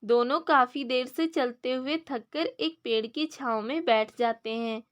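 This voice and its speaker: A woman with a clearly high voice reads a scripted children's tale. She is the storyteller, presenting a moral story as narration. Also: measured pace.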